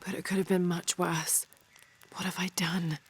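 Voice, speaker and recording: An actress playing a determined archaeologist adventurer in a video game, brisk and focused. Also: female voice, fairly low pitch, level tone, breathy edge. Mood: worried. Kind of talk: video game cutscene acting. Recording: game audio with ambient noise.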